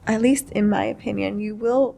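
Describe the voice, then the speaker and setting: A woman hosting a podcast in casual chat, expressive and emphatic, freely sharing her own opinions. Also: mid-range voice, normal speed, creaky, slight background noise. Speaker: podcast host.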